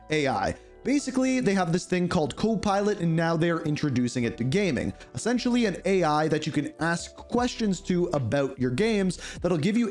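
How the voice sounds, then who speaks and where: Stuffy hoarse guy doing gaming news, kinda high voice, chatty opinions, faint music behind. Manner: chatty, opinionated, lively emphasis. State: congested and hoarse from a cold. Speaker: gaming news show host. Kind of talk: casual gaming news commentary.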